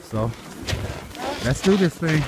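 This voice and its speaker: A man's voice: a little high, lively intonation. A middle-aged man commentating in short repeated phrases to viewers while exerting himself on a ski run. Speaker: skier filming his own run. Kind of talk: live first-person action commentary to viewers. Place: outdoors on a ski slope. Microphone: handheld phone or camera. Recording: loud wind noise on handheld camera. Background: wind and rushing ambience.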